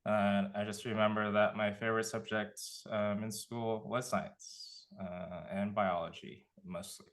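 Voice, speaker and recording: A man from California giving a relaxed career talk as a panelist, thinking aloud with many fillers. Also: on the low side, a little slow, few pauses. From Contra Costa County, California. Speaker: panelist, nonprofit director.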